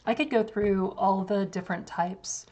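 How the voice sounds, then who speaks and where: A young woman with a voice going hoarse gives a casual, filler-heavy walkthrough of software on a screen share. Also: medium pitch, fairly even intonation, measured pace. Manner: casual, loose, many fillers and restarts. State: losing her voice. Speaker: developer demoing software.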